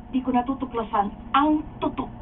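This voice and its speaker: A woman playing a suspicious, determined wife in a radio drama rehearsal, reading her lines deliberately with a Filipino accent. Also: sits high, close to an everyday voice. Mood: determined, accusing. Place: radio recording studio. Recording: muffled phone-like audio with background noise.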